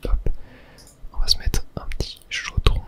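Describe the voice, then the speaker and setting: A man from whispers casual ASMR gaming commentary in a breathy, unvoiced voice, murmuring his thoughts aloud. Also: a little slow. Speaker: ASMR gaming video host.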